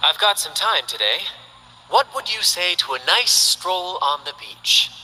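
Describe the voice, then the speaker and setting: A man's voice: high-pitched, sing-song, measured pace, pushed and bright, in a large hall, full character voice, poor audio. A man voicing a flamboyant, carefree young performer who talks in a grand, theatrical way. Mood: showy. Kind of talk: game character voice lines.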